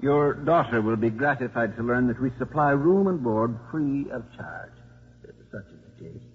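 A stern, formal priest in a 1950s radio drama. He is extremely husky and speaks with slow pomposity. The dull old recording carries a long reverb. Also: mid-range voice. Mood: dry, formal.

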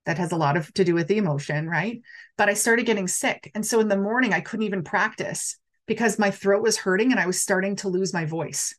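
A middle-aged woman hosts a casual live stream, talking straight to her viewers in a chatty, animated way. Her voice sits at an ordinary middle pitch, and she reads out their comments as she goes. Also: brisk. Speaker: coach hosting a live stream.

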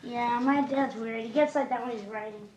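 A woman's voice: fairly high pitch, moderate pace, a little mellow, lightly acted. A woman playing an impatient child waiting on a writer father, in film dialogue. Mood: resigned. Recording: distant, echoey, noisy film clip.

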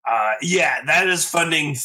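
A man's voice: on the high side, animated, moderate pace, bright, forceful tone. A man chatting casually as a bartender guest on a podcast. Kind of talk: casual chat.

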